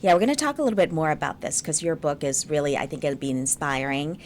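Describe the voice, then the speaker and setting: A woman's voice: low voice, fast talker, slight background noise. A woman hosting a studio interview show, speaking in an encouraging manner. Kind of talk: studio interview with scripted introduction.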